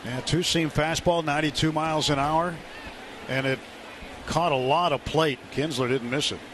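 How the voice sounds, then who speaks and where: Male baseball analyst over loud background noise. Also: on the high side, steady tone, normal speed. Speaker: baseball radio commentator, analyst. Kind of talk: live sports analysis, conversational. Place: ballpark broadcast booth. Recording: live stadium broadcast, loud background noise.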